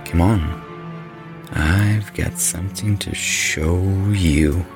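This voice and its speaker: A brooding rock musician acted by a man in a horror radio play. He speaks slowly in a deep, creaky voice, terse and detached, with music underneath. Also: heavily acted. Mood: detached, eerie. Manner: terse, subdued. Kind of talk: horror audio drama acting.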